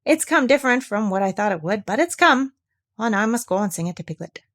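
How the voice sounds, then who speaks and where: Woman as a simple, earnest storybook bear, lilting and lively. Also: medium pitch, quick pace, natural voice. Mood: pleased. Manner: musing, sing-song when reciting. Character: simple, earnest, modest male toy bear who makes up poems. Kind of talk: children's storybook read aloud.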